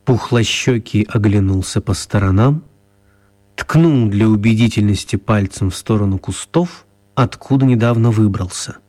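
A man's voice: on the low side, normal speed, darkish tone. A man narrating a radio play as a scripted storyteller.

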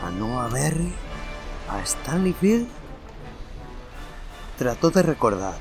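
A man reading a horror story aloud in a slow, theatrical way, then presenting notes on film music. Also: mid-range voice, sing-song. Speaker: narrator and podcast host. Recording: narration over loud music.

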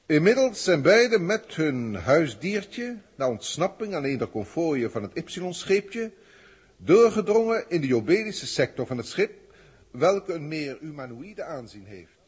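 Haughty, husky alien scholar. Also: male voice, on the high side, big pitch swings, measured pace, full character voice. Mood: formal, reporting. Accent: Netherlands Dutch. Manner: formal, pedantic, theatrical delivery. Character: haughty, learned alien senior scientist filing reports. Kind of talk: 1960s Dutch radio drama acting.

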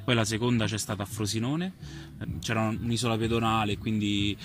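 A man gives spontaneous, explanatory interview answers, pausing and hesitating as he goes. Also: on the low side, steady tone, music in the background. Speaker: photographer and exhibition curator being interviewed. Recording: clear ambient noise behind the voice.

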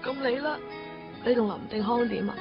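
An actress playing a quietly disillusioned young woman in a radio play, speaking softly in Hong Kong Cantonese. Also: a little fast, close to an everyday voice. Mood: wistful. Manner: subdued and low-voiced. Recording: dull radio recording, distant voice with music.

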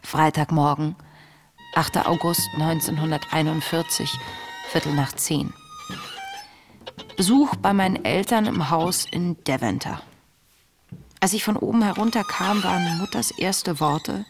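An actress playing an earnest, introspective young woman reading her wartime diary in a radio play, measured and intimate. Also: fairly low pitch, animated. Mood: weary detachment. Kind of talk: radio drama diary monologue. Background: music.

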